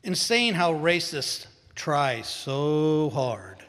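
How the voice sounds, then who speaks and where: A man's voice: on the high side, big pitch swings, drawn-out words, fairly bright tone. A man reciting short scripted statements, with long hall reverb around his voice. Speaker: reader of short personal statements.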